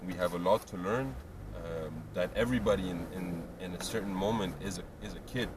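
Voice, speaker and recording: Laid-back low-voiced man on a noisy street. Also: a little fast. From Montreal, Canada. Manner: laid-back, rambling, many fillers and restarts. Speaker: guest rapper. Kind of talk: casual interview chat. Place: outdoors on a city street. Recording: distant mic, loud street noise.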